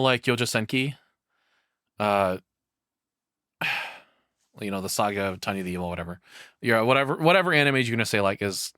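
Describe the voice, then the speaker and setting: A man giving casual, off-the-cuff reaction commentary as a streamer, blunt and sweary, halting as he thinks aloud. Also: lowish voice, pushed and bright, clean tone, dry recording, clean studio sound.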